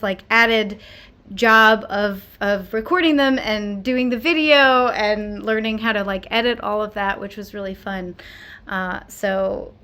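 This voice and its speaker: Woman, concert host, average pitch, casual chatty introduction, trailing pauses, livestream. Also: pushed and bright.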